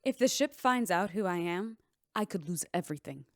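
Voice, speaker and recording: An actress playing a guilt-ridden, defensive bartender in a radio drama, with earnest, emotional delivery. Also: female voice, on the low side, lively intonation, normal speed, no echo, studio quality. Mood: confessing.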